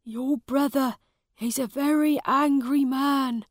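A woman's voice: high-pitched, steady tone, slow, no room sound, studio quality, pitched above the performer's own voice. A woman voicing a small, worried old male servant in a storybook reading. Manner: anxious, fearful.

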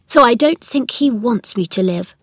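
A sickly young storybook boy, acted out by a woman narrator, who is imperious and fretful. He speaks in a demanding, self-pitying way, pitched up, with a very dry sound around him. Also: animated, moderate pace, fairly bright tone, dry recording. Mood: cross, fretful.

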